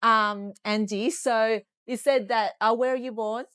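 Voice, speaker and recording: A woman's voice: fairly high pitch, fairly even intonation, measured pace, fairly bright tone, smooth, dry recording. A woman, hosting a group interview and asking upbeat, enthusiastic questions. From Australia. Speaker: host.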